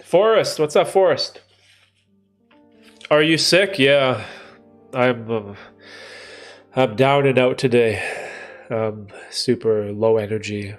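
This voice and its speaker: A man's voice: medium pitch, slow, pauses often, faint music behind. A man from Canada hosting a live Q&A stream, talking with a stuffy nose from a cold and rambling through his thoughts with fillers and restarts. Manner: rambling, thinking aloud with many fillers and restarts. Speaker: livestream host answering chat. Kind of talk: casual live Q&A chat.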